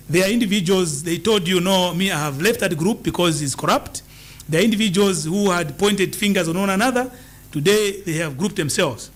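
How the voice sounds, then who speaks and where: High-voiced emphatic man. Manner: emphatic, campaigning, repeats key points. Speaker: political party leader as studio guest. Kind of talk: political interview answers.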